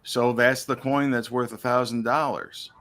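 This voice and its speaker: Man losing his voice, steady explainer. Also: mid-range voice, unhurried. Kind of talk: casual chat about coins.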